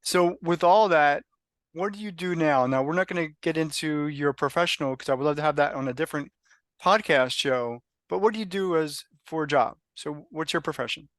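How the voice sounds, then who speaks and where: A man's voice: a little high, brisk, a bit bright, no room sound, clean studio sound. A man hosting a podcast interview, asking questions and often rephrasing or repeating them. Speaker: podcast host.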